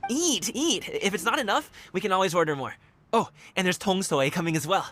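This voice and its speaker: A laid-back young dancer. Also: male voice, high-pitched, lively intonation, fairly quick, a bit bright. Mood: cheerful. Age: young adult. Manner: friendly, laid-back and candid. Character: easygoing young man, wushu dancer and guard. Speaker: game character. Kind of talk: video game voice acting.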